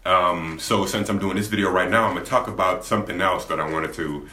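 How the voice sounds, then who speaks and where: A young man with a deep voice, talking candidly to camera in a laid-back, rambling way, often restarting his sentences. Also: brisk, sharp tone. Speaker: gaming YouTuber. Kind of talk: candid vlog talk to camera. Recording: echoey room.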